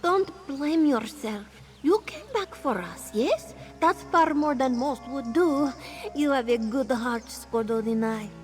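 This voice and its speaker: A woman's voice: sits high, lively intonation, takes it slowly, faint music behind. An actress voicing a playful, flirty female cat-folk wanderer in a video game, coy and teasing, talking about herself in the third person. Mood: kind. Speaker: game character.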